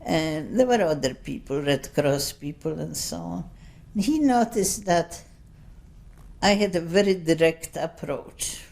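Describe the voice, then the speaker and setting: A woman in her seventies giving an oral history testimony, speaking English as a second language in a slow, rambling, anecdotal way full of asides. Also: low voice, creaky, a little mellow. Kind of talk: unscripted recollection.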